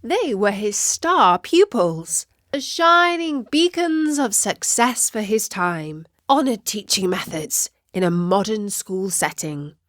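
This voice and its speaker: A woman narrating a scripted children's story, expressive and measured in her delivery. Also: mid-range voice, no echo, clean studio sound.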